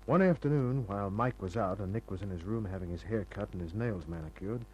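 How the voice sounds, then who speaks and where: An actor playing a tough, cool private detective in an old-time radio drama, laconic and hard-boiled, narrating and talking. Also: male voice, lowish voice, fairly quick, a little mellow, highs cut off, a little hiss or hum, lightly acted. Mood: dry, reflective. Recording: old radio recording, music behind.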